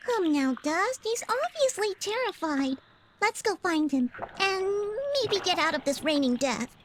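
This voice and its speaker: A high, very animated, sassy little creature girl. She is theatrical and mischievous, a cartoonish game sidekick with clear background game sound. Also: a little slow, heavy background noise. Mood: teasing. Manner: theatrical, sarcastic, bubbly. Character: small sassy flying fox-bat sidekick, mischievous girl. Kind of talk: cartoonish video game voice acting. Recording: game ambience behind the voice.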